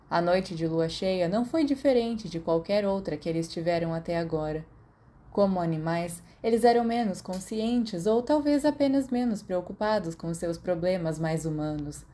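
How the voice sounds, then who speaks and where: A woman's voice: fairly low pitch, warm tone. A woman with a Brazilian accent reading scripted fiction as an audiobook narrator.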